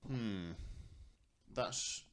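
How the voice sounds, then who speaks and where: A man's voice: lowish voice, very slow. A man co-hosting a podcast in casual fandom chat, often hesitating and thinking aloud. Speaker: podcast co-host.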